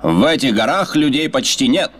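Male actor as courteous cartoon gentleman traveller: composed, formal, mid-pitched but melodic. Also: animated, moderate pace, natural voice. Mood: unruffled. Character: unflappable, courteous English gentleman adventurer. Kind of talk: cartoon dubbing. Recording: music behind the voice.

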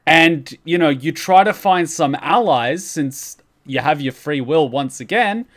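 An animated young male streamer with a somewhat high voice that swings widely in pitch. He reacts casually with sarcasm, exaggeration and plenty of swearing. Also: a little slow. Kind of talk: casual, profane reaction commentary.

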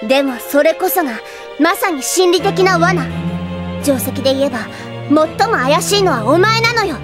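A woman's voice: very high-pitched, lively intonation, normal speed, loud music behind the voice. A woman playing a bossy, self-important teenage girl detective in an anime audio drama, commanding and self-assured. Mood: accusing, triumphant.

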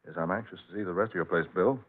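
An actor playing a curious, hearty visiting gentleman in an old-time radio drama, with a genteel, exclamatory manner. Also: low-pitched, rapid-fire, husky. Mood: genial, curious. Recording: muffled narrowband old radio recording.

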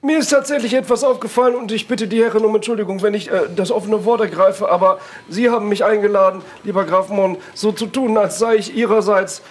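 A man playing a servile, formal butler in an improvised audio drama, deferential and deliberate. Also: high-pitched, fairly even intonation. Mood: stiff, formal. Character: servile, anxious butler with suppressed resentment. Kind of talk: improvised tabletop role-play audio drama.

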